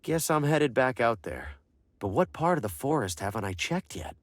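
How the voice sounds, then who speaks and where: A man's voice: medium pitch, very animated, normal speed, a little hiss or hum, close to an everyday voice. An actor playing a wary, awkward everyman salesman in a video game, hesitant and wryly skeptical. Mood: musing, cautious. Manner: hesitant, wry.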